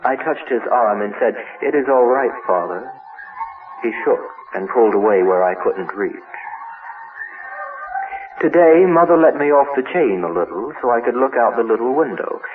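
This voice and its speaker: A man reading a horror story in a formal, measured, theatrical style through a radio filter. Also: medium pitch, expressive. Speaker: horror story host and reader. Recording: tinny old-radio sound, music behind.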